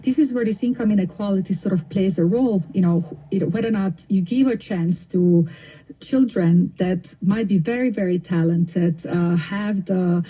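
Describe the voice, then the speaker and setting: A woman's voice: medium pitch, normal speed, dark tone, breathy edge, rough recording. A woman from Slovenia with a Slovenian accent, a professor answering radio interview questions in a thoughtful way, with many fillers and restarts. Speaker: economics professor, guest.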